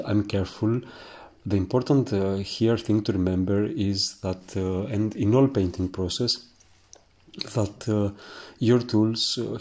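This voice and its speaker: Slow, halting Greek-accented man. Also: fairly low pitch, a little mellow. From Greece. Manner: halting, searching for words, many fillers. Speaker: painting teacher. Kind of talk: instructional voice-over while painting.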